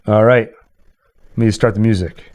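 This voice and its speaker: A man's voice: fairly low pitch, fairly even intonation, fairly quick, darkish tone. A man hosting in a casual, chatty style, explaining things to his friends as he goes. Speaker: host and narrator of radio play.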